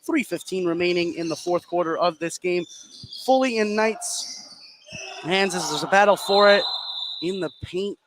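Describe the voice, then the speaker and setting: A man's voice: high voice, moderate pace. A man doing live play-by-play basketball commentary as a sports announcer. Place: courtside in an indoor high school gym. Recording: noisy live broadcast from a gym. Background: loud gym ambience.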